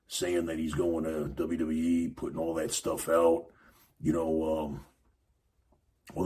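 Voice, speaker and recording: A man hosting a podcast, talking casually about wrestling in a rambling way, with a raspy voice and lots of fillers. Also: a bass voice, warm tone, a little hiss or hum. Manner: rambling, many fillers and restarts. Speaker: podcast host. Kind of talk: casual wrestling commentary monologue.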